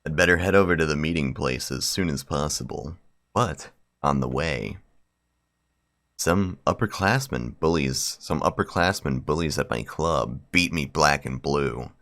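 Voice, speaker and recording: A man's voice: extremely low pitch, measured pace, a bit bright. A man hosting a game video, reading a visual novel aloud and adding slangy, profane bro-style commentary. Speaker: gaming video host.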